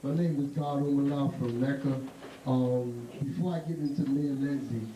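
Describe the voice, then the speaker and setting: A man with a loud voice speaking to a gathering, hesitant at first, then greeting formally. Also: mid-range voice, steady tone, normal speed. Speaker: elder speaker at a community gathering. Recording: echoey room, distant mic, noisy.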